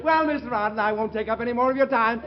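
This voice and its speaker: An actor playing a fussy, apologetic insurance investigator who rambles and titters, in a 1940s radio comedy. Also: male voice, very high-pitched, fast talker, background noise. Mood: tittering amusement. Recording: dull old radio recording, studio audience.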